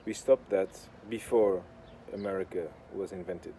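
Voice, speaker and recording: A man with a Portuguese accent and a fairly low, very mellow voice talks casually to his viewers. He is sardonic and deadpan, swearing freely as he rambles. Faint urban works noise sits behind his voice. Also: normal speed. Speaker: video host. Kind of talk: casual rambling talk to camera. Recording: clear outdoor urban construction noise. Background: noise of building works.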